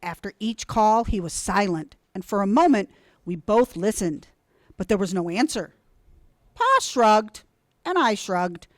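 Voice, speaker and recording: A woman reading a children's storybook aloud in an expressive, deliberate, dramatic way. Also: mid-range voice, dry recording, studio quality. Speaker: storybook reader.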